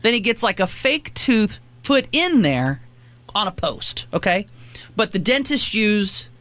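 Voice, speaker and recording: A woman's voice: medium pitch, a little slow. A woman co-hosting a morning radio show, teasing her co-host and telling stories with gusto in a studio. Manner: teasing, storytelling, lively. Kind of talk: casual morning radio banter. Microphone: studio mic.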